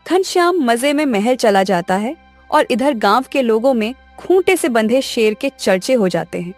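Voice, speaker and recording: Casting: female narrator for scripted children's stories, fairly high voice, light music behind. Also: lively intonation, moderate pace.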